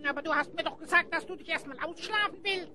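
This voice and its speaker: Cheeky, hungry little creature sidekick, audio drama: very high, bright, pert. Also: female voice, fast talker, a little hiss or hum, exaggerated voice. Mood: eager. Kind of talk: German children's audio drama acting.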